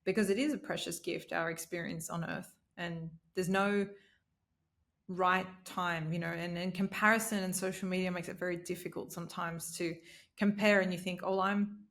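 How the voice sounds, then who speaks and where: A woman's voice: lowish voice, steady tone, normal speed, fairly bright tone. A woman in her late twenties in a casual podcast conversation, speaking in an earnest, motivational way.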